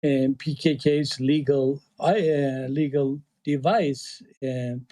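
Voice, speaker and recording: A man from eastern Turkey speaking English with a Turkish accent, talking in a casual panel discussion, halting often with fillers and restarts. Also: mid-range voice, fairly even intonation, warm tone. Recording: online audio call.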